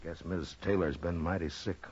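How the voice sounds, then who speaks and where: A man playing a steady, authoritative Old West marshal in a radio western, in a deep voice. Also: moderate pace, breathy edge. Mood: calm concern. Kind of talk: 1950s radio western acting and first-person narration. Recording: dull old radio recording, music behind.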